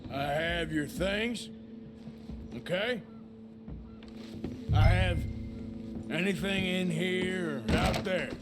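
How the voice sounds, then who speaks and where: An actor playing a gruff, impatient American outlaw debt collector in a western video game, menacing and sardonic. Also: male voice, high-pitched, extremely slow, pauses often. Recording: loud music behind the dialogue.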